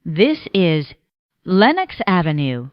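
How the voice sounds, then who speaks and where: A woman reading scripted train announcements in a formal, measured, segmented way as a transit announcer. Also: on the low side, very animated, clean tone, dry recording, highs cut off.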